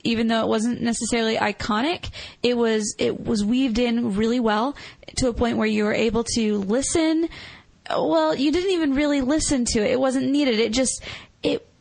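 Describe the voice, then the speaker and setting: A woman's voice: fairly high pitch, gravelly, darkish tone, no echo. A woman co-hosting a film review podcast, giving her opinions casually. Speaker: podcast co-host reviewing films.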